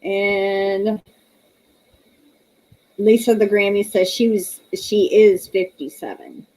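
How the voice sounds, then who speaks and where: A woman's voice: mid-range voice, little pitch movement, slow, mellow, rough recording. A woman, hosting a casual livestream, chatty and laughing often as she reads viewer comments aloud. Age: 30s. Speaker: livestream host.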